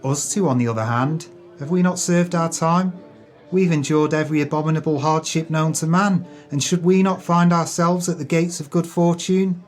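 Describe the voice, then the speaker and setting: A man's voice: on the high side, steady tone, normal speed, soft background music, close to an everyday voice. An actor playing a jealous, callous male swindler in an audio drama, sneering and self-pitying with grandiose, wordy speech. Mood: resentful, bitter.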